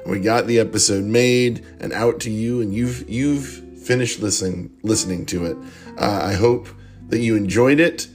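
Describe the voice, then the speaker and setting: Middle-aged male podcast host, husky from strain, lower register, jokey and self-deprecating. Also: normal speed, faint music behind. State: losing his voice. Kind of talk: casual rambling podcast outro.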